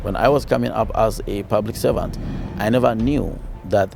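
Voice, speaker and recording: A man's voice: lowish voice, normal speed, vocal fry, music in the background. A man from Ghana, a city councilor, giving earnest interview answers with fillers and repeated phrases.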